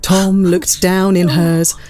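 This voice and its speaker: A woman's voice: lowish voice, flat delivery, slow pace, over music. A woman narrating a classic period story in a scripted, dramatic style.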